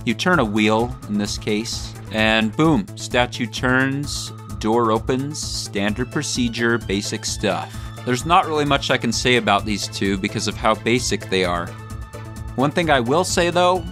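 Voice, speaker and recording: A male host delivers comedic scripted commentary at a middling pitch. His delivery is mock-grandiose and tongue-in-cheek, and light music plays behind him. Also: fairly bright tone. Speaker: video essay host.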